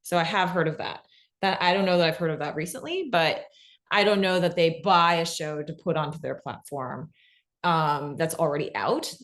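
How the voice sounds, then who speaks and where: A woman's voice: deep voice, normal speed, fairly bright tone. A woman on a panel giving informal, unscripted advice, often dropping in "like" and checking in with "right?". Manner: informal, frequent "like" and "right?" tags. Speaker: panelist presenting on fiction podcast pitching. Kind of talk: casual unscripted advice talk.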